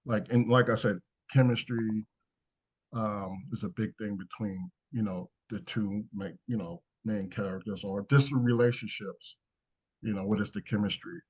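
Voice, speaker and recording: A man's voice: lowish voice, fairly even intonation, moderate pace, a little mellow, breathy edge, muffled recording. A man answering questions casually as a screenwriter, talking in a hesitant, rambling way. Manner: hesitant, rambling, frequent "you know". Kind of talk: casual Q&A talk after a script read.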